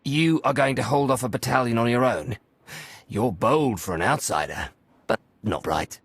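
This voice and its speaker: A raspy, dry-sounding game warrior, proud and combative. The voice is creaky and swells grandly as he speaks like a zealot of battle. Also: medium pitch, normal speed, dry recording. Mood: sceptical. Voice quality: gravelly, raspy. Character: proud, hot-blooded male Mandalorian clan warrior. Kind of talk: video game voice acting.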